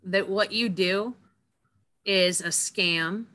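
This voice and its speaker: A woman's voice: medium pitch, very slow, sharp tone. A woman hosting an interview, chatty and playful and gushing with praise for her guest. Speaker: interview host. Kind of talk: casual interview chat.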